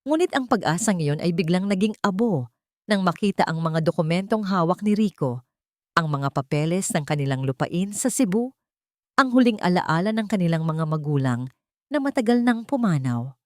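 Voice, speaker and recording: A woman's voice: on the low side, animated, normal speed, no room sound, studio quality. A woman narrating a scripted dramatic story in a solemn, melodramatic manner.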